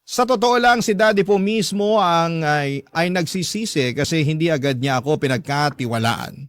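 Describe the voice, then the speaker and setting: Earnest, higher-voiced male storyteller. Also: moderate pace, dry recording, clean studio sound. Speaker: narrator reading a listener's letter. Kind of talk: first-person dramatic storytelling.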